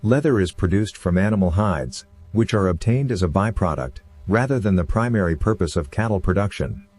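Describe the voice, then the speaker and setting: A man's voice: low voice, animated, a little fast, darkish tone, husky. A man giving scripted explanatory narration as a narrator.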